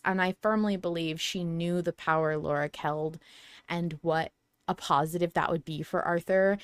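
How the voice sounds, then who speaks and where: A woman hosting a fan podcast, chatting in an expressive, emphatic way. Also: on the low side, dry recording. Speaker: podcast host. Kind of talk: enthusiastic fan podcast chat.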